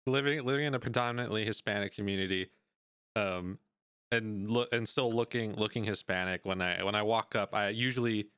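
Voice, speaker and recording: A young American man on a podcast who talks haltingly, often restarting and using fillers. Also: on the low side, a bit bright, clean tone, no room sound, studio quality. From United States. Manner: hesitant, halting, many fillers. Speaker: podcast co-host. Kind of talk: casual chat.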